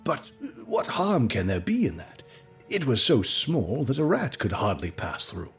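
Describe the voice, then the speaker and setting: An actor playing an earnest, apprehensive, loyal gentleman companion and storyteller in an audio drama, with formal dramatic delivery. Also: medium pitch, huge pitch swings, measured pace, breathy edge, faint music behind, exaggerated voice. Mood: puzzled. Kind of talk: audio drama acting and first-person narration.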